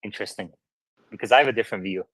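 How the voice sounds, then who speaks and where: Host, man, late 30s, grew up in Turkey; lowish voice, dry close sound, curious and questioning. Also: rapid-fire, fairly bright tone, dry recording, poor audio. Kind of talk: casual chat.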